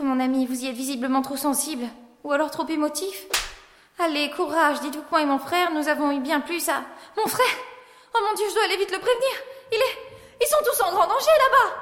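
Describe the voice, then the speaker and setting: An actress playing a bold, quick-witted woman in an audio drama, composed, sardonic and commanding. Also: very high-pitched, fairly bright tone. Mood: brisk concern. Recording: echoey long reverb with music.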